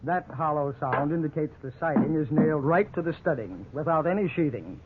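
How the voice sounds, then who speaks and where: An actor playing a thorough, matter-of-fact house inspector in an old radio drama, frank and deliberate. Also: male voice, fairly high pitch, expressive, lightly acted. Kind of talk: 1950s radio drama acting. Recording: tinny, noisy old radio broadcast.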